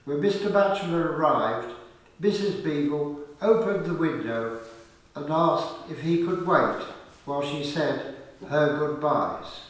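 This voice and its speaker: A man narrating a scripted radio play in a measured, deliberate storytelling manner. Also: a little high. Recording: very echoey, distant from the mic.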